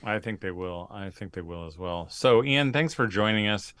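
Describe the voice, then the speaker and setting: A man's voice: fairly low pitch, lively intonation, normal speed, a bit bright, clear, resonant tone, dry recording. A man hosting a podcast, chatting casually in an encouraging, agreeable way. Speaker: podcast host. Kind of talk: casual interview chat.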